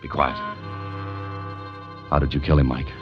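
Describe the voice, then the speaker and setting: Hardboiled radio sleuth. Very low voice, terse and controlled, dull vintage sound. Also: male voice, flat delivery, a little slow, over music. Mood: firm, probing. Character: deep-voiced, determined male amateur investigator narrating his case. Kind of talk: 1940s radio mystery acting and narration.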